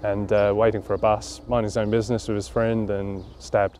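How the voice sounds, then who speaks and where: A documentary interviewee at a campsite, a man in his thirties with a mellow, lowish voice, pausing to think as he explains himself. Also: little pitch movement, soft background music. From London, England. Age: 30s. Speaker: interviewee, charity cyclist. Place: outdoors at a leafy campground.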